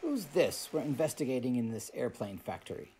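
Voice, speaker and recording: A man plays a fatherly police inspector in a radio mystery. He swings widely in pitch, emphatic and disbelieving. The recording is faintly noisy. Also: very animated, fairly quick, dark tone, lightly acted. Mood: puzzled. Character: questioning police inspector, father of the young detective. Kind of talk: old-time radio drama acting.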